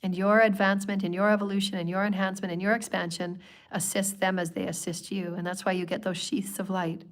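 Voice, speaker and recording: A woman hosting a spiritual livestream, hoarse from a cold, talking in long flowing strings of repeated phrases. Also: medium pitch, level tone, quick pace. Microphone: livestream microphone.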